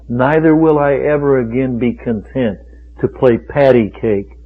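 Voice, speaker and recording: A man's voice: medium pitch, husky. A man preaching a fiery sermon, emphatic and rhetorical, driving points home with repeated phrases. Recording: tinny phone-like radio recording.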